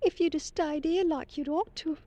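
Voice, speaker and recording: A woman's voice: high-pitched, normal speed, mellow, highs cut off, slight background noise, natural voice. A woman playing an anxious, lovestruck thirteen-year-old girl in an old-time radio drama, girlish and pleading. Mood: worried, tender. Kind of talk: 1940s-50s radio drama acting.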